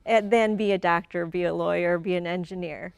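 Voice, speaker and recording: Smooth-voiced woman in her forties. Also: on the low side, steady tone, fairly quick, no echo. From Michigan, USA. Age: 40s. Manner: thoughtful, repeats words as she gathers thoughts, laughs easily. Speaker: guest. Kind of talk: conversational interview.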